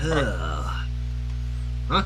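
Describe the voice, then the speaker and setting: A man's voice: fairly low pitch, very animated, extremely slow, music in the background, poor audio. A man narrating a fan story on a livestream, with casual asides. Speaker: fan fiction reader on livestream.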